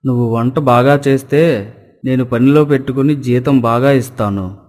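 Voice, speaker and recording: A man's voice: mid-range voice, normal speed, a little mellow, long reverb tail, lightly acted. Man playing a bossy, gluttonous village landlord. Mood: dissatisfied. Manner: bossy, demanding. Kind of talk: cartoon story dialogue.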